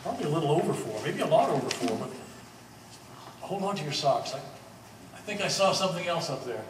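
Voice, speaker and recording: In a reverberant hall, some way from the mic, a man plays a wry, excitable treasure hunter on stage. His voice is fairly high, and he muses aloud in halting bursts. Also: far from the mic, natural voice. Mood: wry, explaining. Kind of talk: live one-act stage play. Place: art gallery performance space. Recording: echoey hall from afar, faint noise.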